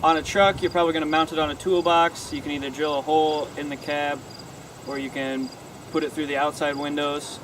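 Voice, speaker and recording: A man's voice: a little high, level tone, fairly quick, background noise. A young American man giving a casual hands-on how-to in an informal, offhand, loosely phrased way. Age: young adult. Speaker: host of a how-to car video. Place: outdoors in a driveway and inside a parked car.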